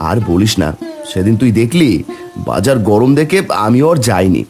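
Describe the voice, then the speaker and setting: A poor village family man from a cartoon , played by a male actor, weary yet caring. His delivery is dramatic and swings widely in pitch, with music underneath. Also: big pitch swings, moderate pace, darkish tone. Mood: concerned. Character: poor village husband, weary but caring elder brother figure. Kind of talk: Bengali cartoon voice acting.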